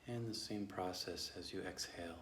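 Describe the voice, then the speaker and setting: A man leading a guided meditation as a teacher, speaking gently and slowly with long pauses. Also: deep voice. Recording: echoey room with faint background noise.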